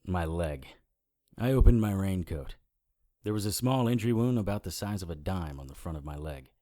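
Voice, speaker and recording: An actor playing a wry, hard-boiled supernatural investigator in an audio drama, with deadpan, sardonic noir delivery. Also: male voice, low voice, normal speed. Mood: stoic.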